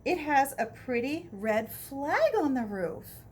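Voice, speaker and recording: A woman's voice: sits high, very animated, slow, a little hiss or hum, heavily acted. A woman voicing a happy, agreeable male bird from a picture book in a sing-song, exclaiming way. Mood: hopeful. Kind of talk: children's picture book read-aloud.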